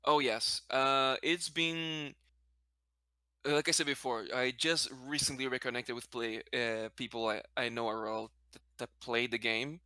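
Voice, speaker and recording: A man's voice: medium pitch, fairly even intonation, measured pace, bright, forceful tone, clear, resonant tone, no room sound, studio quality. A man from Brazil whose first language is Portuguese, answering as a guest in a casual interview, hesitant and often correcting himself. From Brazil, later a Spanish-speaking country.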